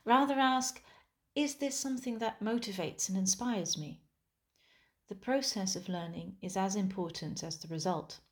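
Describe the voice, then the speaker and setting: Calm Dutch-native woman musician reflecting. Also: medium pitch, moderate pace. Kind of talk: reflective scripted monologue.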